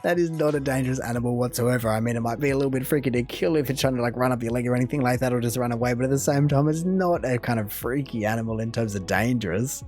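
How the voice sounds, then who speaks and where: A man's voice: medium pitch, fast talker, hardly any pauses, darkish tone, soft background music. An Australian man giving rambling, chatty, casual commentary as host of a reaction video.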